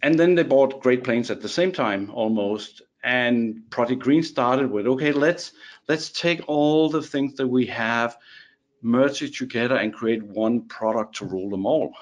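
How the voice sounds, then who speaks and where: A man's voice: mid-range voice, measured pace, lo-fi sound. A man from Denmark with a Danish accent, a guest on a casual podcast chat, who restarts and repeats words often.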